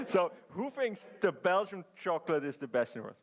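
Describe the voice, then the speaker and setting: A man from Switzerland giving a conference presentation in English, chatty and joking, with many fillers and restarts. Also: high-pitched. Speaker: conference speaker. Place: conference hall.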